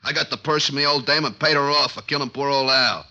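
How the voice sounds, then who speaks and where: A dim, blustering crook. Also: male voice, medium pitch, fast, pushed and bright, lo-fi sound. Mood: menacing. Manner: slangy, blustering tough-guy talk. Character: dim thuggish male crook. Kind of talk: 1940s radio acting.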